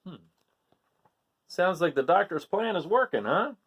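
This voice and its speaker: Animated man with a very lively pitch. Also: measured pace, breathy edge. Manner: chatty, addresses the young listener directly. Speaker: storyteller reading a picture book aloud. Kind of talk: animated read-aloud for children with casual asides.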